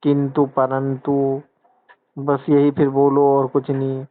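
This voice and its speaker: A man's voice: medium pitch, completely flat delivery, slow. A man arguing over a phone call, lecturing and scolding his partner. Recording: tinny phone line.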